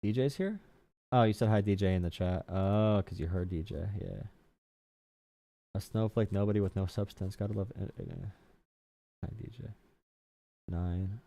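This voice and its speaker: Low, dark-voiced young man hosting. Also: dry recording. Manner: casual, slangy, quick to mock chat. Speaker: livestream host. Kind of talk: casual livestream chat with callers.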